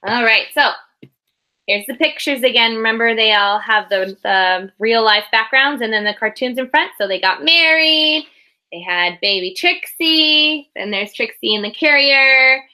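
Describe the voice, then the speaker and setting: A woman's voice: on the high side, animated, measured pace, bright, forceful tone. A woman reading a picture book aloud to young children like a teacher, expressively, with explanatory asides and questions.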